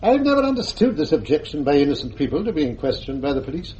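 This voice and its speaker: An actor playing a haughty, sceptical English judge in a radio courtroom drama, pompous and drily sarcastic. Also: male voice, on the high side, very animated, fast talker, a little mellow. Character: haughty, sceptical male judge presiding over a trial. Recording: dull, noisy archive radio recording.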